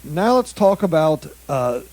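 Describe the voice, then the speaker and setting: A man's voice: a little high, big pitch swings, a little slow, a little mellow. A man co-hosting a comedy news podcast, reading strange stories aloud in casual banter, tripping over foreign words and laughing partway through sentences.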